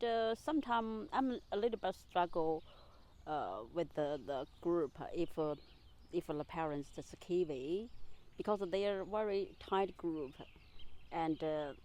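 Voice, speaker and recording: Halting woman. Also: on the low side, a little mellow, slight background noise. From China. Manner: halting, searching for English words. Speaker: migrant mother. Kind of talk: documentary interview.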